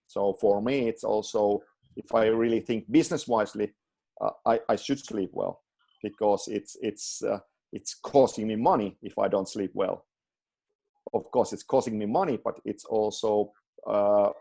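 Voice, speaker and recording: A man's voice: on the low side, gravelly, warm tone. A man with a Finnish accent talking casually on a video call, often repeating words as he searches for them in English. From Finland.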